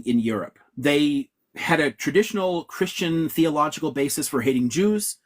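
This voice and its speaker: A man talks through history in a frank, lecturing way, swearing freely and stacking up emphatic asides. His voice has a husky, slightly breathy edge, and he sounds like he is on a video call. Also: mid-range voice. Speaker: guest historian. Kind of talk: conversational interview answer.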